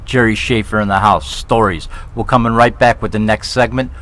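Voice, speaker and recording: A man from New York hosting a live talk show, excitable and joking, often repeating himself as he steers the interview. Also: lowish voice, a little fast.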